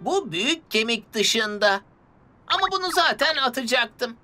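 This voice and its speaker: A woman voicing a proud, excitable young boy bus in a children's cartoon dub. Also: fairly high pitch, a little slow, noisy background.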